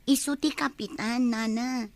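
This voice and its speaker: A woman's voice: fairly high pitch, fairly even intonation, measured pace, dry recording. A woman playing a gossipy village woman who likes to grumble, in a comedy radio drama. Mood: gossipy, indignant.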